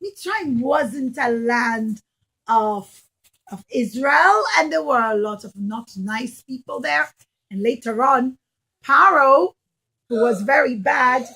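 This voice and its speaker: A woman with a high, bright voice teaches preschoolers through storytelling. She speaks in simple, emphatic phrases and keeps checking in with questions for the children. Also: slow, low-quality recording. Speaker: preschool teacher giving a puppet show. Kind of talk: storytelling lesson to young children.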